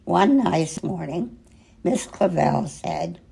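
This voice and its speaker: An older woman reading a children's picture book aloud in an expressive, gentle way, pausing dramatically between short phrases, with a husky, gravelly voice. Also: lowish voice. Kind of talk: children's storybook read-aloud, slow and expressive.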